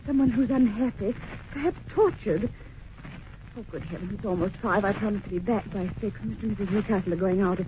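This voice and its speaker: A woman's voice: mid-range voice, a little fast, natural voice. A woman acts a polite, brave young governess in a radio mystery. She is earnest and anxious, and the sound is a noisy, phone-like vintage recording. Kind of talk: old-time radio drama acting.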